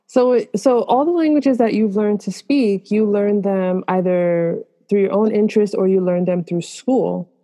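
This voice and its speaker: A woman's voice: mid-range voice, unhurried, hardly any pauses, mellow. A woman hosting a language podcast, reading a scripted intro and then interviewing a guest in an upbeat way. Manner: upbeat, asks questions, laughs along with the guest. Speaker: podcast host.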